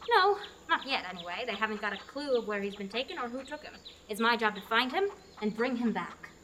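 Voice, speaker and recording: A woman's voice: fairly high pitch, lively intonation, brisk, sharp tone, slight background noise. A young woman in a student radio drama, playing an earnest heroine who has been given a quest. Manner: earnest, exasperated at times.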